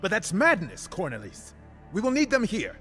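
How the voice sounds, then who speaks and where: A man playing an indignant, sceptical council member in a fantasy game, challenging others with sharp retorts. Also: high voice, wildly swooping pitch, normal speed, soft background music, exaggerated voice. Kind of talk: dramatic fantasy game cutscene acting.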